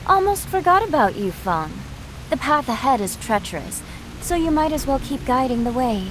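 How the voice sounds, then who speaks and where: An actress playing a stern, scornful female martial sect gatekeeper in a video game, speaking in a measured, commanding way over music. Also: high voice, sing-song, background noise. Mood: coolly commanding. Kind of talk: video game cutscene acting.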